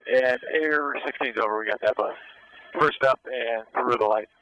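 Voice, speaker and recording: A man calls out directions over a police radio from an air unit. His voice is bright and forceful at a middle pitch, and his phrasing is terse and commanding. The transmission sounds dry and close. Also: moderate pace, smooth, no room sound, poor audio. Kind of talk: police radio tactical callouts.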